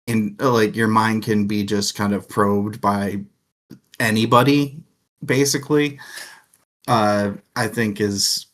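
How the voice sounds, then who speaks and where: A man co-hosting a casual book discussion, talking in a rambling, thoughtful way with plenty of filler words. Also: on the low side. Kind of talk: casual chat.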